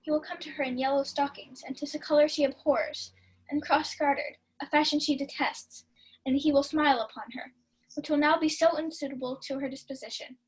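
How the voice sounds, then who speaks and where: Mischievous female plotter young actress, very high voice, conspiratorial and theatrical, for Shakespearean radio comedy. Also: steady tone, brisk, lightly acted, rough recording. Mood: gleeful. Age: young adult. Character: sly, mischievous young woman plotting a prank. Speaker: student actor. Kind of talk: Shakespeare comedy as 1940s-style radio play.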